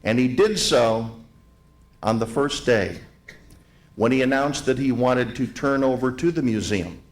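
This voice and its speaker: A man delivering a ceremonial tribute to a live audience, speaking in deliberate, emphatic phrases with repetition. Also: medium pitch. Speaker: event speaker, museum president giving opening remarks. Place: hotel grand ballroom event.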